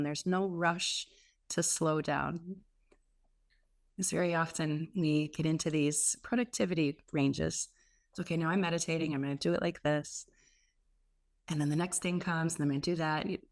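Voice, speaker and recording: A woman leading a guided meditation as a teacher, speaking in an unhurried way with gentle pauses between phrases. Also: lowish voice, gravelly. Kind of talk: guided meditation, softly instructive.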